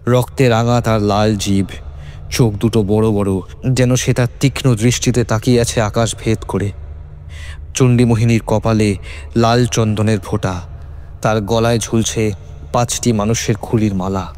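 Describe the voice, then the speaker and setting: A man's voice: on the low side, steady tone, moderate pace, a little mellow, slight background noise. A man reading a scripted horror story as a dramatic narrator. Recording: music under the voice. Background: background music.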